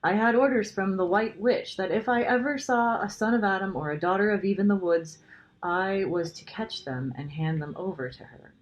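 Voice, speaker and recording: A woman's voice: mid-range voice, normal speed, pitched above the performer's own voice, natural voice. Woman voicing a fretful, guilt-ridden faun, slightly muffled. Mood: tearful, frightened. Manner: anguished, pleading, dramatic. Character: tearful guilt-ridden male faun, gentle, fretful, confessing. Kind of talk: children's storybook character reading.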